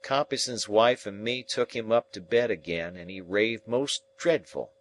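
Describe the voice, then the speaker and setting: A man doing a gruff old convict. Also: fairly low pitch, measured pace, a little hiss or hum, lightly acted. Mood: grim storytelling. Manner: gruff, plainspoken, rustic dialect. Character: rough old male convict telling his past.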